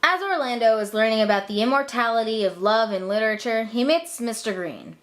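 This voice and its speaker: Higher-pitched woman, sardonic narrator. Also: brisk. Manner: irreverent, sardonic. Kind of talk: comic scripted narration of a puppet show.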